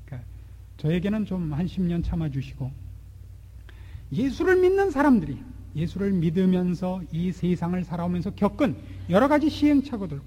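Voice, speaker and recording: A man in his forties preaching a sermon, asking rhetorical questions and swinging between loud and hushed delivery. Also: sits high, slightly rough. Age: 40s. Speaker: pastor. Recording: long hall reverb.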